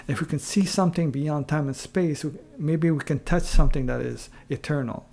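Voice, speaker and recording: Halting man with a gravelly, mellow voice. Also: a little high. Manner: halting, searching, with frequent pauses and throat-clearing. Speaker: online video creator. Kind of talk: unscripted reflective philosophical monologue.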